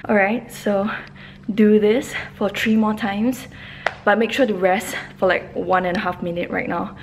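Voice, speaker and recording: A woman's voice: medium pitch, fairly even intonation, measured pace, a little hiss or hum. A young woman, a fitness vlogger, chatting casually to camera in a large indoor gym studio, tired after her workout. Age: young adult. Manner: chatty, loose, with frequent fillers.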